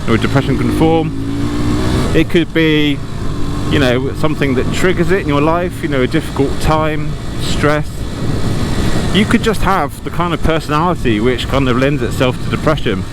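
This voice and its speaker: A man's voice: a little high, normal speed. A British man talking candidly and casually, thinking aloud in a rambling monologue while riding a motorbike, on a lavalier mic in his helmet. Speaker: motorcycle vlogger. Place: outdoors, riding a motorbike through city traffic. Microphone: lavalier inside a helmet. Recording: loud engine and traffic noise. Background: motorbike engine, traffic.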